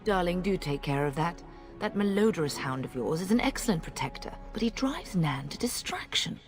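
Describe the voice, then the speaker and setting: An actress voicing a brisk, refined noble mother in a fantasy video game, wry and commanding. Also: fairly low pitch, expressive, soft background music, lightly acted.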